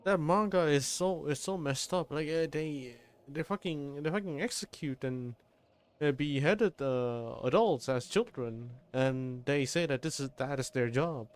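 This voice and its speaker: A man's voice: fairly high pitch, measured pace. A man streaming a game, reacting casually with profane, rambling, stop-start comments. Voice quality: rambling, profane, stammering restarts.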